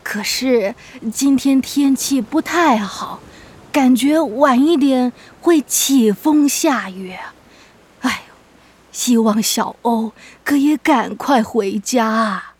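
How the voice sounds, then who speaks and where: A woman voicing a gentle, frail old grandmother with an aged, breathy voice in a children's audio drama. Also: a little high, takes it slowly. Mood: worried. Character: gentle, frail old granny, worried and fond.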